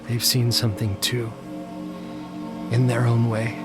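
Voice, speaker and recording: Haunted male narrator played by an actor, obsessive and intense, slow dramatic horror delivery, loud score behind. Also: mid-range voice, completely flat delivery, natural voice. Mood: haunted, subdued. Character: haunted, obsessive man narrating his supernatural terror. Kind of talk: audio drama first-person horror narration. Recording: loud music under the voice. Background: dramatic music.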